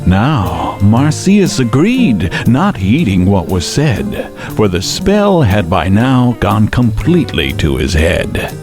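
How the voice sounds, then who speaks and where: An actor playing a theatrical storyteller, giving rhythmic rhyming narration in a radio drama and relishing every word. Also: male voice, on the low side, very animated, slow, music in the background. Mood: wry, measured. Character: theatrical male storyteller relishing a mythic tale.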